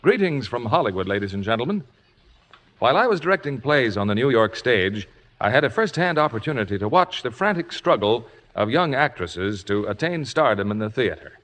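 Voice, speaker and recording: A man presenting a 1950s radio theater show in a formal, polished, measured, scripted manner. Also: medium pitch, big pitch swings, a bit bright. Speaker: radio theater host and announcer. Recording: old radio broadcast with faint music.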